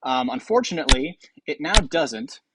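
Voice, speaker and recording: A man's voice: on the high side, big pitch swings, moderate pace, very noisy. A man hosting casual gaming commentary, rambling and thinking aloud with some hesitation. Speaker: video host.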